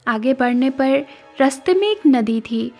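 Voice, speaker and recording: A woman narrates a cartoon moral tale in a high voice. It is scripted storytelling, with faint music playing behind her. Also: animated, measured pace, darkish tone.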